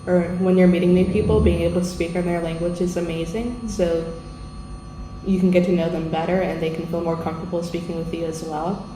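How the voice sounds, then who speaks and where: A woman's voice: on the low side, flat delivery, fairly quick. A young woman from the United States, a language assistant, answering interview questions in a friendly, earnest way. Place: school room. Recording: echoey room with background noise.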